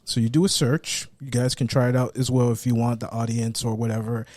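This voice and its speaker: A man's voice: mid-range voice, level tone, fairly quick, darkish tone, no room sound. A man co-hosting a podcast, casually showing and explaining things in an informal, rambling way. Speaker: podcast co-host presenting website picks. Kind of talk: casual show-and-tell.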